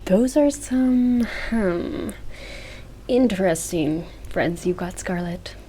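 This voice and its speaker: A woman's voice: on the low side, takes it slowly, mellow, slight background noise. A woman playing a polite, reserved, drily curious customer in a scripted comedy audio drama. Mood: polite, wry.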